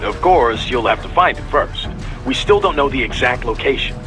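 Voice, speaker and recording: A man's voice: mid-range voice, lively intonation, normal speed, over music, natural voice. An actor playing a casual, wry male mission handler, giving an offhand briefing in a video game.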